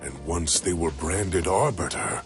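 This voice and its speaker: An actor voicing a proud but troubled alien male warrior with a deep, heavy voice, slow and grave. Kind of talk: video game cutscene acting. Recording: game soundtrack with music underneath.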